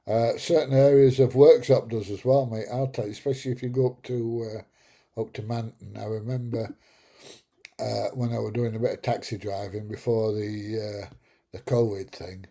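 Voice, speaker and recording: A man's voice: on the low side, steady tone, moderate pace, mellow. A middle-aged man hosting a casual live chat, rambling through jokey stories and chuckling at his own tales. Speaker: livestream host. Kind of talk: casual live chat reading viewer comments.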